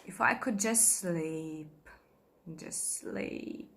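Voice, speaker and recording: A woman performing a modern theatrical monologue as a fragile, exhausted young actress, halting and emotional, wavering between despair and faith, sometimes drifting into whispers. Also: low-pitched, vocal fry, fairly bright tone, exaggerated voice. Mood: self-loathing, exhausted. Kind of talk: modern theatrical monologue played as a video call.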